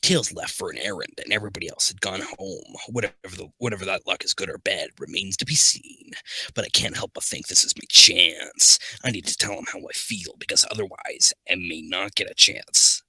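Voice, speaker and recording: A man voicing a lovestruck young hedgehog hero, reading fan fiction aloud in an earnest, halting, wistful way. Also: low voice, pushed and bright, slightly rough, no echo, full character voice, poor audio. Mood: wistful, unsure. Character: lovestruck young male hedgehog hero narrating his secret crush.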